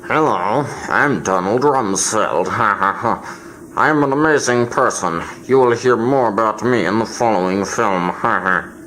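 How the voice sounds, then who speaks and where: A performer voicing a pompous, self-praising male official with a lowered voice, boastful and chuckling. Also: a little slow, fairly bright tone, slight background noise, close to an everyday voice, poor audio. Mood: gloating.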